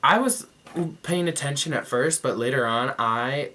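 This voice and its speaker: A man's voice: mid-range voice, unhurried, pushed and bright. A young man giving a sassy, profane, gossipy review to camera as a vlogger. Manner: sassy, profane and gossipy, with mocking quoted voices. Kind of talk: casual talk to camera.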